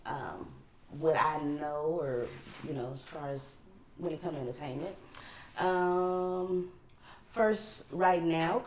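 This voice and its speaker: A woman with a Los Angeles accent, co-hosting a casual chat and talking loosely with many pauses and fillers. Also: deep voice, roomy sound, slight background noise, low-quality recording. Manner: relaxed, rambling with many ums. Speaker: co-host.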